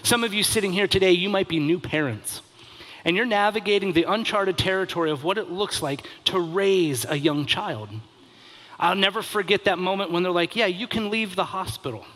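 A man's voice: high voice, moderate pace, a bit bright, poor audio. A man in his thirties giving a conversational sermon as a pastor, earnest, with rhetorical questions and emphatic repetition.

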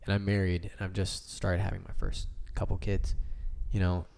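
Deep, mellow man in his twenties. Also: monotone, moderate pace, creaky. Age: late 20s. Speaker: discussion panelist. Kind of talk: casual explanatory talk.

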